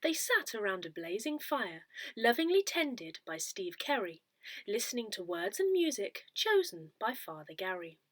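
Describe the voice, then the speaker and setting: A woman reading a newsletter aloud in a measured, careful way with expressive emphasis. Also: on the high side, no echo. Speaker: volunteer reader of an audio parish newsletter. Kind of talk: read-aloud newsletter narration.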